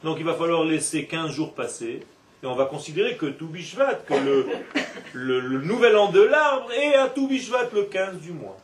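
A man giving an animated Torah class with playful asides, recorded from a distance in a roomy classroom. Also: on the high side, normal speed. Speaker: Torah teacher. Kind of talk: religious class lecture. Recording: echoey room, from far off.

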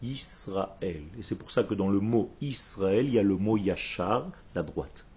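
Husky man teaching religion. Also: on the low side, animated, a little slow, a little mellow. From Israel. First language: Hebrew. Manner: didactic, emphatic, with rhetorical questions and short phrases. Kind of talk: religious lecture to a group.

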